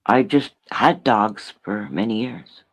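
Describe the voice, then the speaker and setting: A man from Canada with a Canadian accent, chatting casually on a panel in a halting way, repeating phrases. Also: on the low side. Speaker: panel participant.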